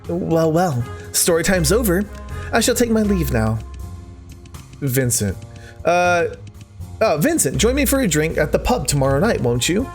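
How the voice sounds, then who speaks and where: A man voicing a formal, genteel male game character with measured, flowery speech. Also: fairly high pitch, big pitch swings, faint music behind. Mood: courteous farewell.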